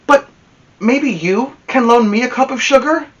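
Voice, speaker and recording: A man's voice: very high voice, unhurried, recorded from a distance, pitched above the performer's own voice. A man voicing a wronged storybook wolf who pleads innocence in a confiding, theatrical way. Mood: pleading innocence. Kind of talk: first-person storybook read-aloud.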